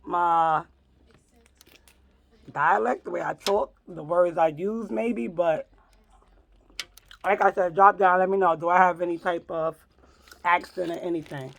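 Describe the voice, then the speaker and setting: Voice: medium pitch, fairly even intonation, a little slow, creaky, pauses often, fairly bright tone. A vlogger from New York chatting casually while eating, playful and fond of repeating words. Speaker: vlog co-host. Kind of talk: casual mukbang chat.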